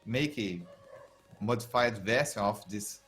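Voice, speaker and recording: A man's voice: fairly low pitch, unhurried, fairly bright tone, faint music behind. A man co-hosting a game live stream, chiming in with brief joking remarks. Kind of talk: casual game tutorial chat.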